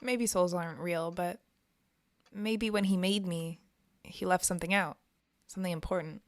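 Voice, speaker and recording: A woman's voice: fairly low pitch, steady tone, normal speed, dry recording, studio quality, lightly acted. A woman playing a guarded, self-doubting young trainee in an audio drama, hesitant and downbeat in naturalistic dialogue. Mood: troubled. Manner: hesitant, downbeat, clipped answers.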